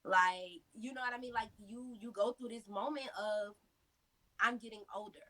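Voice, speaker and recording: A woman's voice: a little high, normal speed, sharp tone, rough recording. A woman in her thirties answering interview questions with earnest, motivational advice and lots of fillers. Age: 30s. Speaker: interview guest, mother.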